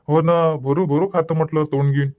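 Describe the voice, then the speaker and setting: A man's voice: fairly high pitch, fairly even intonation, unhurried, lightly acted. A man voicing a grumbling, lecturing old village husband in a cartoon comedy, with a Varhadi Marathi accent. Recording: dull lo-fi audio.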